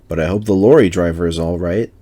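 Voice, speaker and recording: A man's voice: low voice, fast talker, dark tone. A man voicing a good-humoured, easygoing male engine driver in a storybook reading, jovial and reassuring. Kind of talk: storybook character voice.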